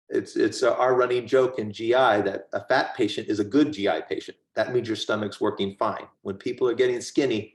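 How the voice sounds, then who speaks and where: A man with a fairly low voice gives a medical lecture as a gastroenterologist. He explains things in a plain, conversational way. The sound is roomy and echoey.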